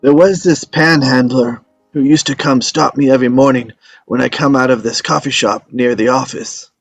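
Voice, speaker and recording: A man's voice: medium pitch, fairly even intonation, moderate pace, vocal fry, dry recording, faint music behind. An actor in a script table read playing an ailing old father, a stubborn, folksy teller of tall tales who dodges with jokes. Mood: playful. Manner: folksy, evasive, joking storyteller.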